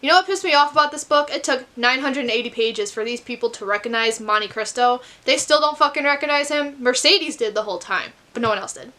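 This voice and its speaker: A young woman talking casually to her camera at home about a book she is reading, chatty and candid, with quick asides and casual swearing. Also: high-pitched, pushed and bright. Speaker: book vlogger. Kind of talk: casual vlog chat to camera. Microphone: camera mic.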